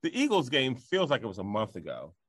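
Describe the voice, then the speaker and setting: A man co-hosting a casual sports talk show, animated and laughing as he rants. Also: mid-range voice, a little fast, breathy edge, dry recording. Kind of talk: casual podcast banter.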